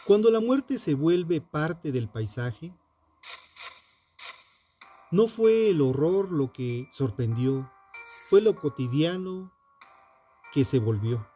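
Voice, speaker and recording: A man reading a scripted true crime narration in a solemn, dramatic manner, in short phrases with emphatic pauses. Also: on the high side, animated, mellow, soft background music.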